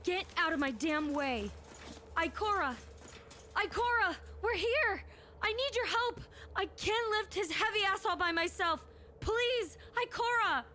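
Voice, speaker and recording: A woman's voice: extremely high pitch, lively intonation, measured pace, background noise. A woman playing a desperate, hot-tempered young female pilot in a fan-made audio drama. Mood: frantic calling. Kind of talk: fan audio drama acting, first-person narration and dialogue.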